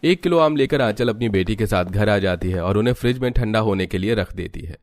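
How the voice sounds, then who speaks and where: A man narrating a cartoon story in an even, storytelling manner. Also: fairly low pitch, a little fast, no echo.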